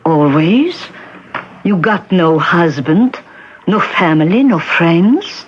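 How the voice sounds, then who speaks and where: An actress playing a kindly, bossy, fretful middle-aged Czech shopkeeper with emphatic, insistent delivery in a 1970s radio drama. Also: female voice, fairly low pitch, lively intonation, takes it slowly, husky, heavily acted. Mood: brisk, probing. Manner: emphatic, insistent questioning in foreign phrasing. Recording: dull vintage radio broadcast recording.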